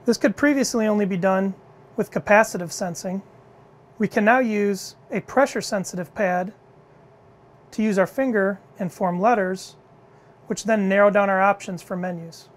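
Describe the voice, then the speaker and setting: A middle-aged man leading product innovation, presenting a car cockpit demo in a measured, clear, scripted way in an indoor demo room. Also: sits high. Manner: measured, clear explanatory delivery.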